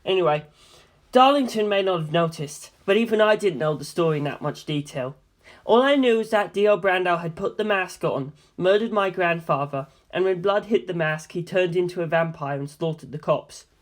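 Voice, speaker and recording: A woman's voice: lowish voice, lively intonation, normal speed. A woman reading scripted story narration in the first person. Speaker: audiobook reader.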